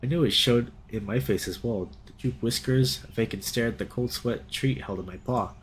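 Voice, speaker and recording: A man's voice: mid-range voice, normal speed, a little hiss or hum, poor audio. A young man hosting a let's play, reading a visual novel aloud expressively and reacting as he goes. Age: young adult.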